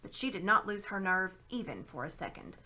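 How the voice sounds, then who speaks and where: A woman reading a children's novel aloud in a dramatic, expressive narrating style. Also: medium pitch, brisk. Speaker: storybook reader.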